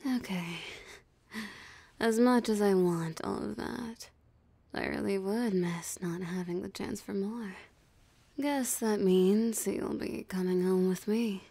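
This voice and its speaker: A woman voicing a possessive, teasing female vampire in an ASMR roleplay, with a breathy, half-whispered, sultry and taunting delivery. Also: medium pitch, takes it slowly, dark tone, no room sound. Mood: savoring, possessive. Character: possessive, teasing female vampire savoring her captured prey. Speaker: voice actor.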